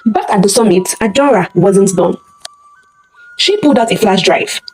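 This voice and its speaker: A woman's voice: medium pitch, expressive, moderate pace, darkish tone, slightly rough, faint music behind. A woman telling a scripted dramatic story as narrator, theatrical and suspenseful, in short punchy phrases.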